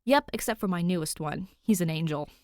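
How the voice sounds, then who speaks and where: A woman's voice: fairly low pitch, a little fast, a little mellow, no room sound, clean studio sound, natural voice. Woman voicing a sunny, easygoing young man. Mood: cheerful. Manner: easygoing, good-natured. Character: sunny, friendly young man, eldest-brother type. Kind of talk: acted dialogue in fan fiction reading.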